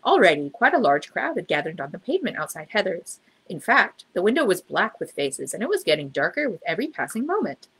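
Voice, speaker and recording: Female storybook narrator, slightly low register, very animated, a husky grain to the voice. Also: a little fast, few pauses, low-quality recording. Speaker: storybook reader. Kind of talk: expressive children's story narration.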